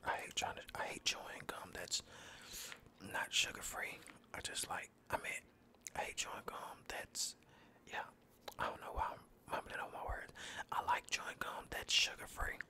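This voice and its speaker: A tired man rambling in a soft, mostly unvoiced whisper over a game, close to the mic, trash-talking enemies as he plays. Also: normal speed. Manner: rambling, laid-back, trash-talks the game. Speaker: gaming channel host. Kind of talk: whispered gameplay commentary.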